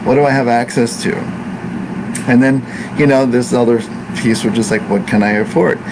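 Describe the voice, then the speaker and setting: An American-born man whose first language is Italian, teaching Ayurveda in an unhurried, reflective way with many fillers and restarts. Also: mid-range voice, fairly even intonation. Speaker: Ayurveda practitioner. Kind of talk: informal teaching talk. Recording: noisy room with air conditioning hum.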